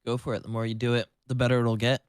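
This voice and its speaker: A man's voice: mid-range voice, level tone, racing, smooth, no room sound, clean studio sound. A man in casual livestream chat as co-host, rambling with lots of "like" and casual swearing. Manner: rambling, heavy use of "like"; casually foul-mouthed.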